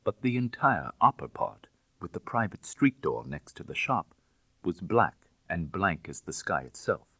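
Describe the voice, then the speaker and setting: Low husky guy reading an old classic audiobook, literary and dramatic, very lively intonation. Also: measured pace, no room sound. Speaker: audiobook narrator. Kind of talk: scripted first-person narration of classic fiction.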